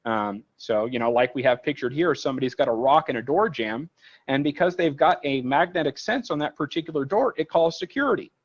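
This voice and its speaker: A man's voice: fairly high pitch, animated, brisk, lo-fi sound. A man giving a conversational technical product tutorial as a trainer, explaining informally and rambling a little through casual examples.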